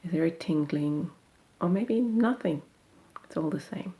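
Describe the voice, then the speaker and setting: A woman guiding a meditation in a gentle, unhurried, soothing manner, leaving long pauses between phrases. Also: on the low side, animated. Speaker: meditation guide. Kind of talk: guided meditation.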